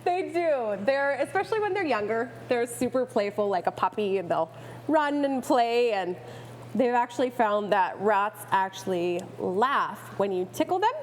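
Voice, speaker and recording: A woman's voice: on the high side, animated, moderate pace, a little hiss or hum. A woman chatting casually as a guest expert in an interview, playful, enthusiastic and informal. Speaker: guest expert, pet rat owner and rescuer.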